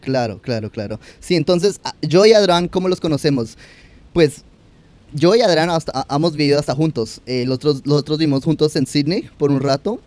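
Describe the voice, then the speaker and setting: A young man hosting a podcast, chatty and firing quick follow-up questions in casual interview talk. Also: on the high side, darkish tone, no echo. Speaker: podcast host.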